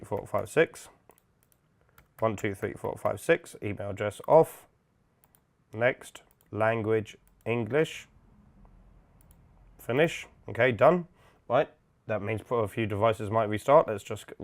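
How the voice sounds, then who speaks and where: A man's voice: fairly low pitch, takes it slowly, pauses often. A man giving an informal, step-by-step tutorial, explaining methodically as an instructor. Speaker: instructor, product demonstrator. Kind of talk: software setup tutorial, step-by-step walkthrough.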